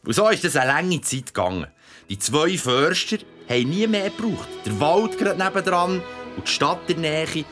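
An actor playing an earthy father who tells a bedtime story in Swiss German, dramatic and drawn-out. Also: a little high, expressive, music in the background, lightly acted. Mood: fond storytelling. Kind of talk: dramatic storytelling in a radio play.

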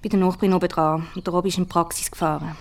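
A woman's voice: fairly low pitch, fairly even intonation, rapid-fire, a little hiss or hum, lightly acted. An actress playing a harried, stoical mother and violinist in a radio drama, speaking in a colloquial, matter-of-fact way. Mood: tired, relieved. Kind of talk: naturalistic radio drama acting. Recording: music behind the voice.

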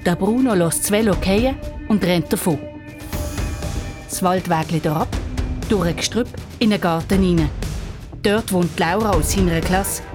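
Low-voiced Swiss woman narrating over music. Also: normal speed, long pauses. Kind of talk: scripted children's story narration.

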